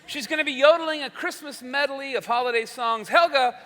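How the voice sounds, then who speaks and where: In a reverberant hall, a man plays a genial veteran radio show host. His voice is very high and bright, with old-time announcer phrasing and drawn-out asides. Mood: showy. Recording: echoey hall with long reverb.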